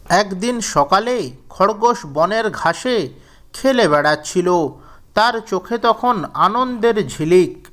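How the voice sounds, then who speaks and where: A man narrating a scripted children's story in a measured, deliberate storybook manner. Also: sits high, fairly bright tone.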